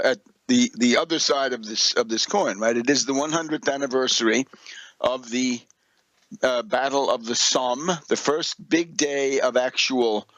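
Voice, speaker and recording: A man giving extemporaneous political and historical commentary as a radio host, deliberate and emphatic, with professorial asides and sardonic phrasing. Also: medium pitch, fairly bright tone, no echo, low-quality recording.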